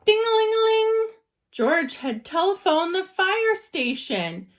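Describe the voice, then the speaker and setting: A woman reading a children's story aloud like a teacher, expressive and dramatizing for young listeners. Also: very high voice, slow pace.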